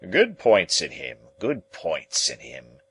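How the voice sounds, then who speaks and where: A man plays a middle-aged gentleman from a storybook, pompous and contrary. He pronounces his opinions dryly, in a sententious and declamatory manner. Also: sits low, huge pitch swings, slow, slightly rough, full character voice. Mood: pompous disapproval. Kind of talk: dramatised audiobook reading.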